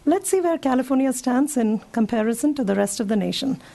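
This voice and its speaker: A woman doctor gives a health talk to older listeners. Her voice is hoarse and gravelly, with a fairly high pitch. Her tone is dark and mellow, and she chats her way through her slides. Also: expressive, fairly quick. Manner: conversational, talks the audience through slides. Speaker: physician.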